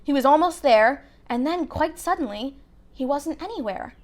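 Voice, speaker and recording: A woman narrating a children's novel aloud in an expressive storybook style. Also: high voice, normal speed.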